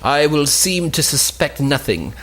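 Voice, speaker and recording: A man's voice: fairly high pitch, very animated, a little slow, fairly bright tone, heavily acted. A man playing a scheming, suspicious lovelorn lady in an amateur cold reading of an old stage play. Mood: sly.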